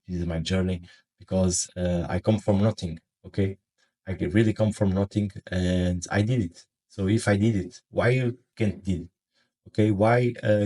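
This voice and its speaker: A man from Portugal with a Portuguese accent, giving casual trading advice to viewers in a halting way, with many fillers and restarts. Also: low-pitched, darkish tone. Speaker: forex trading educator.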